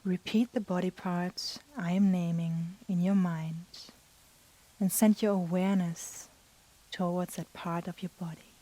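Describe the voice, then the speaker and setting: A woman guiding a yoga nidra meditation in a soft, breathy, soothing manner with long pauses. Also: fairly low pitch, little pitch movement, poor audio. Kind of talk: guided meditation.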